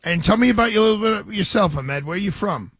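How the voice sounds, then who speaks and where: A man's voice: high-pitched, lively intonation, quick pace, a bit bright, slightly rough, no echo. A man hosting a radio talk show, chatting energetically with frequent restarts.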